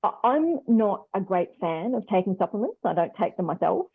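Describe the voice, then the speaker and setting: A woman's voice: mid-range voice, big pitch swings, fairly quick, slightly rough, no echo. A woman with an Australian accent, explaining conversationally as an expert guest on a radio phone-in, over a muffled phone-like line.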